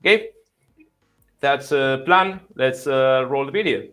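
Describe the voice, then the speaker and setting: A man's voice: mid-range voice, takes it slowly, sharp tone, faint music behind. A man hosting an online panel chat, friendly and rambling with many fillers. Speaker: host.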